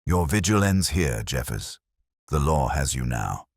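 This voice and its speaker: A man voicing a commanding, coolly calculating male detective in an audiobook story, speaking with crisp, deliberate authority. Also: rumbling low, very animated, dry recording, studio quality, exaggerated voice. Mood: stern, commanding.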